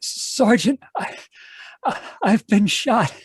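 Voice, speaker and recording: A man's voice: sits high, expressive, slow, breathy edge, no echo, studio quality, exaggerated voice. An actor playing a gallant, wounded young man in an old-time radio adventure. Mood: weak, pained. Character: wounded young prospector, gallant male sweetheart.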